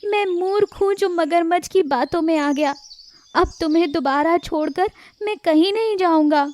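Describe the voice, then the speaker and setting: Meek male deer for kids' animated fable, female performer: tiny, very high voice, earnest and naive. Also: moderate pace. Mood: grateful, contrite. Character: grateful, naive, gentle young male deer. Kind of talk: animated children's fable character voice.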